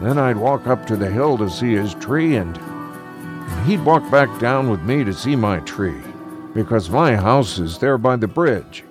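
A man's voice: medium pitch, expressive, a little slow, music in the background, pitched above the performer's own voice, close to an everyday voice. A man voicing a cheerful, lonely old country neighbour in a storybook, rambling along in folksy, old-fashioned speech. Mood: nostalgic, chatty.

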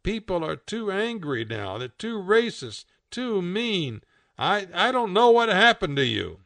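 A man's voice: medium pitch, sing-song, takes it slowly, gravelly, bright, forceful tone, no room sound, distant mic, full character voice. A man voicing a timid, disillusioned male cartoon pig who talks in a plaintive, stubborn way.

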